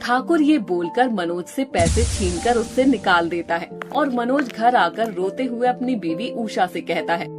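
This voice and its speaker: A woman's voice: on the high side, lively intonation, a little slow, few pauses. A woman narrating a scripted story as a storyteller. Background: music behind the voice.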